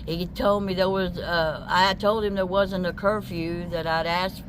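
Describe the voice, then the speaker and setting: A woman's voice: on the low side, steady tone, a little slow. A woman in her seventies from Texas, telling her story in a casual street interview, rambling and plainspoken with many restarts. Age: 70s. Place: outdoors by a busy road. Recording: loud traffic noise outdoors.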